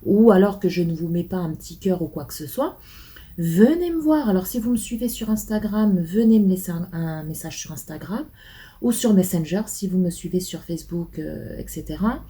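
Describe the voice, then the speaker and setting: Vloggeuse bavarde à la voix douce et grave. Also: female voice, lowish voice, expressive, moderate pace, dark tone. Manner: chatty and rambling, many fillers and repeats. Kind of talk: casual chat to camera.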